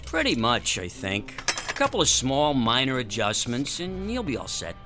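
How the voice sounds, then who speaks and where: An actor playing a touchy, slow-witted repairman who grumbles bluntly and literally in a comic radio drama. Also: medium pitch, huge pitch swings, over music. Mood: deadpan, grumbling.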